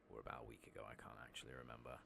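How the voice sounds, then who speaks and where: A man softly narrating his video game in an ASMR style, casually muttering to himself as he plays. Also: a bass voice, level tone, racing, gravelly. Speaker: host of a gameplay channel. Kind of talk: soft-spoken ASMR game commentary. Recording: loud background noise, clicking.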